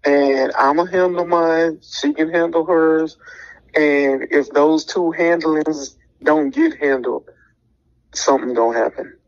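A man's voice: fairly high pitch, slow pace. An American man arguing casually over the phone in a challenging manner. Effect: phone line. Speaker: person on the phone. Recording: dull lo-fi phone call.

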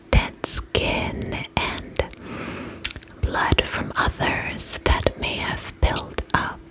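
Very slow whispering ASMR woman. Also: low-quality recording. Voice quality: breathy, unvoiced whisper. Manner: slow, soothing, attentive. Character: attentive, gentle vampire spa attendant. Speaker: ASMR roleplay host. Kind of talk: whispered ASMR spa roleplay.

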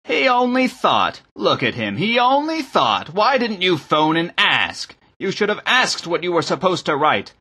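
A man's voice: sits high, wildly swooping pitch, a little slow, bright, forceful tone, husky, heavily acted. A man voicing an irate, scornful bishop in an audiobook, snarling and barking orders and sarcastic questions. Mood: scornful, furious. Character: irate, bullying male bishop, loud and scornful.

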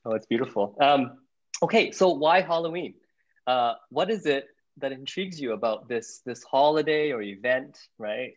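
A man in his thirties hosting a literary talk on a video call, hesitant and restarting his phrases. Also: medium pitch. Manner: hesitant, repeating words as he searches. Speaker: host. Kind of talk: casual interview questions. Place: home room. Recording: muffled video call audio.

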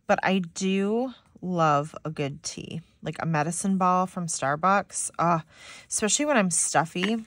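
A woman's voice: fairly low pitch, unhurried, fairly bright tone, dry recording. A young woman with a slightly stuffy, nasal voice, rambling casually to viewers while decorating a planner. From Michigan. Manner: rambling, chatty, many "like"s, talks to viewers. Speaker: planner vlogger.

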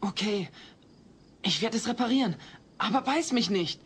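Brash, lively streetwise teenage boy. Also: sits high, normal speed, slight background noise. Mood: alarmed. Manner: brash, offhand. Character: cocky, streetwise teenage boy, a bit rough. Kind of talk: German dubbed sitcom audio play.

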